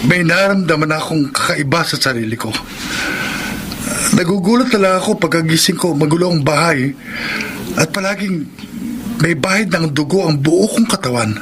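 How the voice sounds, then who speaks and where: A man's voice: on the high side, expressive, moderate pace, pauses often, background noise. An actor playing an anxious, hesitant young man in a radio horror drama, stammering as he speaks. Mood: shaken, fearful. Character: anxious young man told he is a cursed monster. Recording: dramatic music under the voice.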